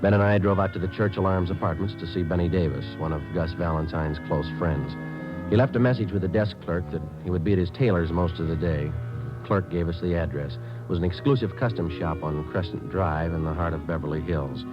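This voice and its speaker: Male, American, for old radio crime drama: clipped, businesslike detective played by a man, deep and brisk. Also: close to an everyday voice. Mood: matter-of-fact. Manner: clipped, flat, matter-of-fact. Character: terse, businesslike police detective sergeant, narrating his cases. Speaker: police detective and narrator. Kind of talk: 1940s-50s radio drama acting and case narration. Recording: dull old radio recording, music behind.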